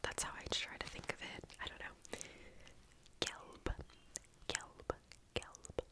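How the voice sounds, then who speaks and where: A young woman whispering an ASMR language lesson close to the mic, softly repeating words, with casual chatty asides. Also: slow pace. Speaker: ASMR creator teaching basic German. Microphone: close sensitive mic.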